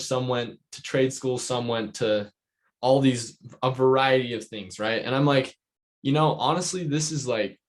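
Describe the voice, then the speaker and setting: A man hosting a podcast in casual chat, informal and halting, leaning heavily on filler words. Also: mid-range voice. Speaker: podcast host.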